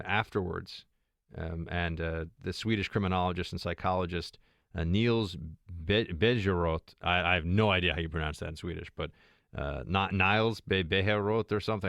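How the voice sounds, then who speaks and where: A man's voice: deep voice, creaky, a bit bright, dry recording, studio quality. A man hosting a talk radio show, talking off the cuff with many fillers and restarts. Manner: extemporaneous, with frequent fillers and restarts. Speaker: radio host.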